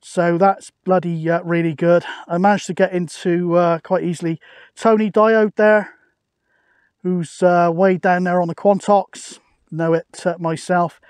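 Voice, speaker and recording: A man's voice: high-pitched, little pitch movement, measured pace, darkish tone, clear, resonant tone, no room sound, studio quality. A British man chatting casually and matily outdoors, as a radio hobbyist making a video. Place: outdoors on an open hilltop.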